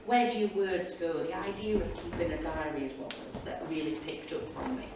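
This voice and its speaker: Lower-voiced chatty woman in an echoey hall. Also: fairly quick. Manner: chatty, enthusiastic, digressive. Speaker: history teacher, panelist. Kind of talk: informal spoken reflections to an audience. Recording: echoey hall, distant mic, loud room noise.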